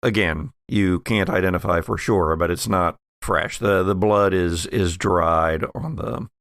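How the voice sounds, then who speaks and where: A man narrating a tabletop game as game master, improvising descriptions in a halting way. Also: sits low, fairly bright tone, no echo, clean studio sound. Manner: halting, pieces descriptions together as he goes.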